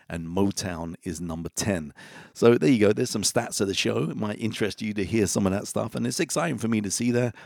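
A man's voice: deep voice, gravelly, no room sound, clean studio sound. A man hosting a music podcast, chatting casually and directly to his listeners between songs. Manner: relaxed, chatty, talks straight to listeners.

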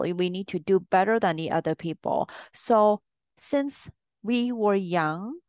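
A woman's voice: mid-range voice, clear, resonant tone, no room sound. A woman from Hong Kong hosting her own podcast as a life coach, talking through her points in an earnest, conversational way and repeating them for emphasis. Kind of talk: solo podcast monologue.

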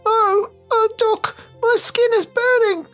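A sick young girl whimpers and pleads in a very high, thin voice, put on by a man. She is feverish and hurting, in a radio-play scene with faint music. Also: fairly even intonation, slow, pushed and bright, heavily acted. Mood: agonized.

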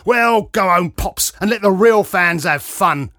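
A man voicing an aggressive middle-aged lout with a rasping, snarling, belligerent delivery in a narrated story. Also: high voice, unhurried, pushed and bright, dry recording, studio quality, pitched above the performer's own voice. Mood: menacing. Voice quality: rasping, growling.

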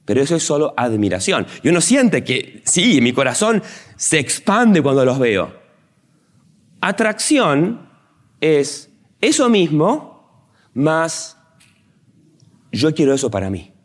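Animated priest with widely swinging pitch. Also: male voice, slow, pauses often. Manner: didactic, poses rhetorical questions and answers them himself; conversational, acts out imagined thoughts. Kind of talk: instructional talk on faith to an audience.

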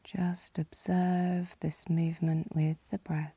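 A woman leading a guided yoga nidra relaxation as the guide, calm and soothing, with a soft, breathy, husky voice. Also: deep voice, takes it slowly, dry recording. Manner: calm, soothing, gently instructing.

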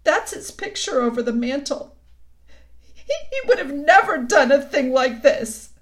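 A woman's voice: high-pitched, fairly even intonation, voice pushed up. A woman voicing a weepy, self-reproaching male faun from a storybook, sobbing and drawing out the words. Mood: tearful confession. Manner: sobbing, wailing, drawn-out words. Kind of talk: storybook character voice.

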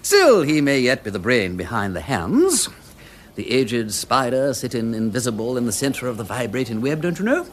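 An actor playing a breezy aristocratic English gentleman detective in a radio drama, with wordy, flowery asides. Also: medium pitch, very animated, normal speed, slight background noise, close to an everyday voice. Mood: amused, musing. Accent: upper-class English. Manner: breezy, flowery phrasing, drawling rhetorical asides.

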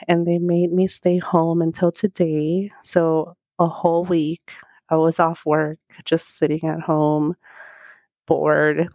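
A woman with a slightly low, scratchy voice talks through a casual solo podcast, rambling and chatty with plenty of fillers. She is alone in a quiet office with a small handheld mic, and the sound is close and dry with no room echo. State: scratchy voice from recovering illness. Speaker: podcast host. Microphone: small handheld microphone.

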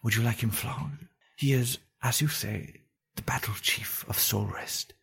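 A man voicing a young woman in a fantasy audiobook, speaking softly and carefully in a raised, creaky, husky voice. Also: mid-range voice, measured pace, pitched above the performer's own voice, exaggerated voice. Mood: soothing. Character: young women: unsure elf pupil, coaxing imperial mistress.

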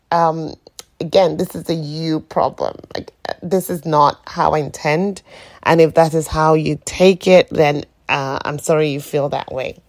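A middle-aged woman with a croaky morning voice, speaking in a rambling, reflective way on an informal solo podcast. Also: fairly low pitch, a little mellow. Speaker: podcast host.

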